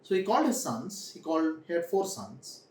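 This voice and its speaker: A young man tells a children's story in a high, very animated voice. He phrases things deliberately and repeats them for emphasis. The small room echoes around him. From India. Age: young adult. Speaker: storyteller host. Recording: echoey room, distant microphone.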